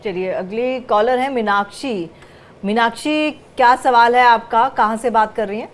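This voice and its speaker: A woman hosts a live TV call-in show, her voice sitting a little high. She speaks in a clear, instructive way, spelling out steps for viewers. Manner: clear, instructive, slightly drawn-out phrasing. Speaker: TV show host.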